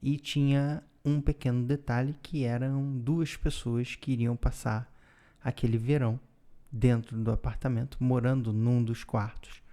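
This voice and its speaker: Calm man with a mellow voice. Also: medium pitch, a little slow. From Brazil. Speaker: podcast co-host. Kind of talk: casual conversational storytelling.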